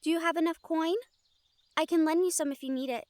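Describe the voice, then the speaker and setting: A woman's voice: very high-pitched, steady tone, fairly quick, no echo. A woman playing an earnest, studious, slightly bossy young woman in a scripted audio roleplay, speaking in an organized, teasing, formal way. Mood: friendly.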